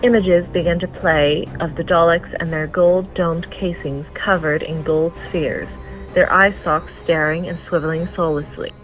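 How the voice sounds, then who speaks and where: A woman serves as narrator for an audio drama, reading scripted scene description. Her voice sits a bit low for a woman, and music plays behind her. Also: steady tone, a little slow, highs cut off.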